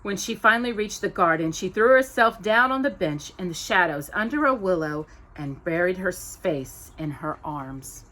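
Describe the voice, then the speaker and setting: A woman hosting storytime, reading a children's story aloud with expressive, dramatic emphasis on descriptive words. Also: lowish voice, normal speed, hardly any pauses, low-quality recording.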